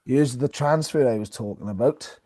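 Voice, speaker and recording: A man's voice: medium pitch, moderate pace, warm tone. A man co-hosting a sports podcast, chatting casually about rugby.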